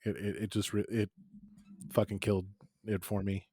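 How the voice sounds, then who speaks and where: Lower-voiced man, halting casual chat. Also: level tone, a little mellow, slightly rough, no room sound, clean studio sound. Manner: halting, repeats words, swears casually. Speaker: podcast co-host.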